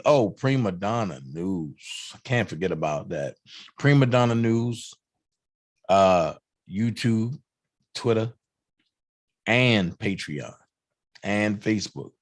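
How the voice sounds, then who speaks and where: A hoarse man hosting a livestream, chatting casually and hyping up shout-outs to fellow channels. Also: lowish voice, dry recording. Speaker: livestream host.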